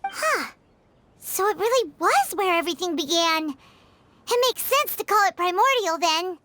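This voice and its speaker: A woman's voice: very high voice, sing-song, a little slow, pushed and bright, no room sound, full character voice. A woman voicing a tiny, curious, naive fairy companion in a video game, with a childlike, wide-eyed way of talking. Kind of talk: scripted game dialogue.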